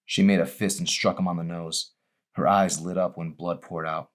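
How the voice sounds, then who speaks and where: A man reading his own novel as a dramatic audiobook, in a grave, deliberate storytelling manner. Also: low-pitched, flat delivery.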